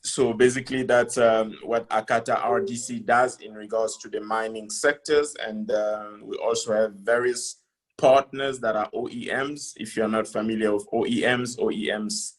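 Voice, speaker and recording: A middle-aged man from the Democratic Republic of Congo giving a business presentation on a video call, speaking in a halting, deliberate way. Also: fairly low pitch, steady tone, low-quality recording. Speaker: conference speaker, company founder.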